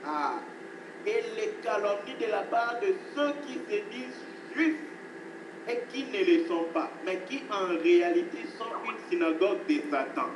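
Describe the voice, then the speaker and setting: A man's voice: high voice, animated, a little slow. Preaching man in an echoey hall. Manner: emphatic preaching, repeats phrases, addresses listeners as beloved. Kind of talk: sermon. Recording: echoey, distant, noisy hall recording.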